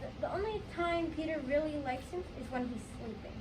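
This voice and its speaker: A woman's voice: high-pitched, expressive, fairly quick. A young female student narrating a scripted story aloud. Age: child, fourth grade. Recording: distant microphone, clear background noise.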